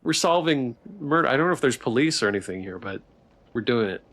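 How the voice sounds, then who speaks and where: A man's voice: mid-range voice, lively intonation, fairly quick, a bit bright. A man reading a visual novel aloud as a streamer, narrating the story of a game playthrough. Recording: background music. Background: music.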